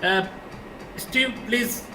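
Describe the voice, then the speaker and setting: A man moderating an online event with halting, formal introductions, heard on an echoey, distant call. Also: high voice. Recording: echoey, distant, slightly noisy call audio.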